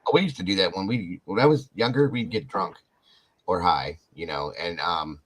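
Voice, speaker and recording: A man hosting a casual podcast, rambling and animated, talking to viewers in the chat. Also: sits low, moderate pace, slightly rough. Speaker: podcast host.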